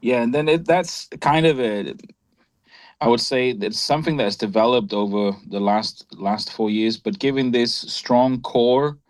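A man who teaches worship, speaking hesitantly with many pauses and restarts. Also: mid-range voice. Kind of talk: casual chat.

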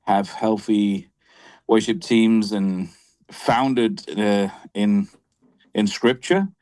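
A male worship teacher answers questions in a lower voice, pausing and restarting often. The recording is dry and close. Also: a little mellow, clean tone, no room sound. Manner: hesitant, many fillers. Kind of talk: casual interview answer.

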